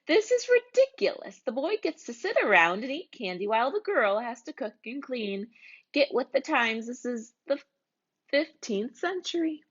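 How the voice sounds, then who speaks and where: A woman's voice: high voice, sing-song, moderate pace, slightly rough, muffled recording, exaggerated voice. A woman voicing a sassy, indignant little girl in a storybook, arguing pertly. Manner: pert, argumentative.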